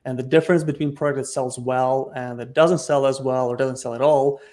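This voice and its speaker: Ukrainian-accented man with a mellow voice. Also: medium pitch, fairly even intonation, brisk. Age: 30s. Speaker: podcast guest. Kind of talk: casual business chat.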